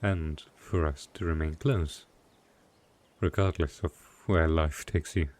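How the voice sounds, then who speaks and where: A man playing a lonely, gentle but possessive dark knight in an intimate fantasy audio roleplay, speaking softly and haltingly. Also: very deep voice, steady tone. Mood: tender, wistful.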